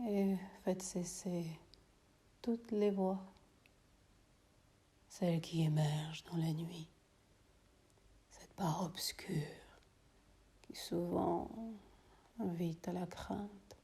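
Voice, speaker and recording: Very slow, hushed woman guiding meditation. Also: on the low side, steady tone. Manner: hushed, breathy, often near-whispered delivery; soothing, unhurried phrasing with long pauses. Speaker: yoga nidra meditation teacher. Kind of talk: guided meditation.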